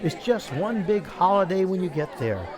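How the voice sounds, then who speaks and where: A woman's voice: fairly low pitch, very animated, moderate pace. A woman in amateur radio theater playing an eager, casual, talkative spaceship passenger. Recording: busy crowd hubbub behind.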